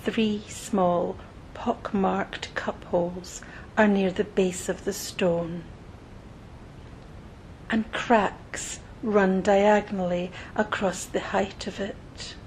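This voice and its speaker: Woman reading a guided visualisation, super slow n soothing, medium pitch, bit of rumbly noise behind her. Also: fairly even intonation, a little mellow, low-quality recording. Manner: soothing, measured, pausing between short phrases. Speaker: meditation guide. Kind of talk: scripted guided meditation narration. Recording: noticeable low rumbling background noise.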